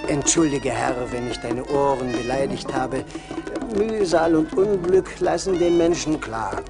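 An actor playing a poor, weary porter, humble and resigned, in a theatrical radio play manner. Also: male voice, mid-range voice, a little slow, over music, natural voice. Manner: lamenting, deferential.

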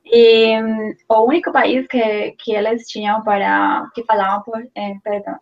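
A woman's voice: a little high, flat delivery, measured pace, creaky, dull audio. A young woman from Colombia, a native Spanish speaker with a Colombian accent, telling her story in a casual interview with many restarts. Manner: hesitant, many restarts and fillers, laughs easily. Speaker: guest, Portuguese learner. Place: small room at home.

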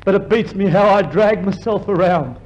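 A man's voice: high voice, moderate pace, a little mellow, lightly acted. An actor playing a gloomy old hypochondriac delivery man, complaining dolefully with an Australian accent in a 1950s radio comedy. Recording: muffled, faintly noisy 1950s radio recording.

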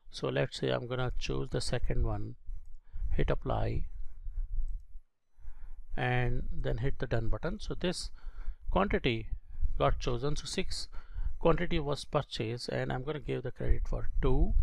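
A man giving a step-by-step software tutorial as an instructor, speaking in a halting, explanatory way into a close microphone. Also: medium pitch, gravelly, no echo, low-quality recording. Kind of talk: screen-recorded software tutorial.

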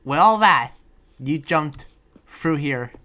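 A man playing a talkative, awkward young demon slayer in an improvised Minecraft roleplay, rambling and halting as he goes. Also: fairly high pitch, lively intonation, bright, forceful tone, exaggerated voice. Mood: rambling, explaining. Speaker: roleplayer playing the lead character. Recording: music behind the voice.

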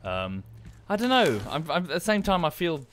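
A man's voice: a little high, wildly swooping pitch, normal speed, fairly bright tone, a little hiss or hum. A man chatting casually and a little hesitantly as he streams a game. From United Kingdom. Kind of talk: casual gaming stream chat.

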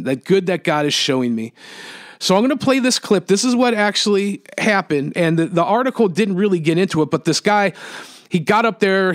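A man hosting his own podcast, giving earnest, halting commentary with frequent restarts. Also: high-pitched. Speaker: podcast host.

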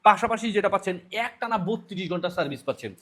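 A man with a Bangladeshi accent giving a promotional sales pitch as a product presenter for an online shop. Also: lively intonation, racing, fairly bright tone.

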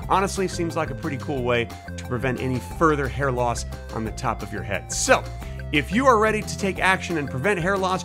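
A middle-aged man with an American accent hosting a video, talking casually to camera with a sponsor read, into a desk mic at home. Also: high-pitched. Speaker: video host. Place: small home room, indoors. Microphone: desk microphone close in front.

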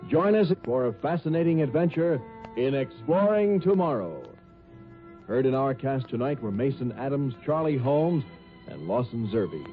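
A man's voice: medium pitch, very animated, normal speed. Tinny vintage male radio announcer. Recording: tinny old radio, music behind.

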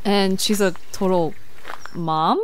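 A woman's voice: medium pitch, fairly even intonation, slow, a little hiss or hum, natural voice, low-quality recording. A woman playing an upbeat, wry young woman in an audio drama. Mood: wry, curious.

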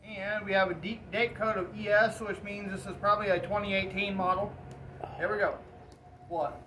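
A man's voice: high-pitched, steady tone, moderate pace, sharp tone. A young man presenting a casual video as its host, recorded outdoors under an open pavilion from a camera a few metres away. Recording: far from mic, noisy open-air recording.

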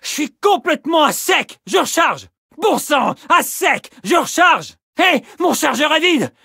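An actor playing a fierce French soldier, barking urgent, commanding battle lines for a video game. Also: male voice, very high voice, very animated, measured pace, creaky, pushed and bright, no echo, clean studio sound, full character voice. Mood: urgent, alarmed.

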